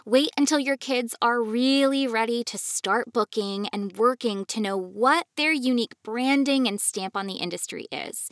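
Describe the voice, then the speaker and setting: A woman talks as a podcast host in a medium-pitched voice. Her delivery is instructive and measured, and the sound is close and dry, free of room echo. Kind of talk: podcast advice talk.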